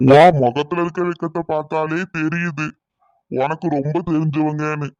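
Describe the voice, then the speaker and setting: Man doing a nosy, higher-pitched old grandfather. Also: fairly even intonation, moderate pace, a little mellow, no echo, muffled recording, close to an everyday voice. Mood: knowing, teasing. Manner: inquisitive, knowing. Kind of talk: cartoon comedy dialogue.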